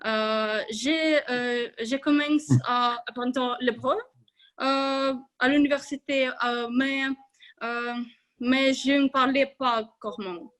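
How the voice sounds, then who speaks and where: Woman, high voice, slow halting delivery, filler sounds, video call. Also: steady tone, a bit bright, poor audio. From Ukraine. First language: Russian and Ukrainian. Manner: hesitant, many filler sounds, restarts words. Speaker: club member giving a speech. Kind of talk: hesitant prepared speech.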